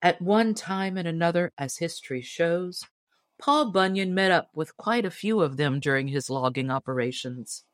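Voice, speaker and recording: A woman narrating a storybook tall tale in a measured, expressive storytelling manner. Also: fairly low pitch, no echo, studio quality. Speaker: audiobook reader and storyteller.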